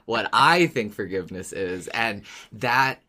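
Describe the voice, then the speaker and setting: A man's voice: fairly low pitch, lively intonation, a little slow, bright, forceful tone. A man co-hosting a podcast in casual discussion, speaking thoughtfully and circling back over his words. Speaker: podcast co-host.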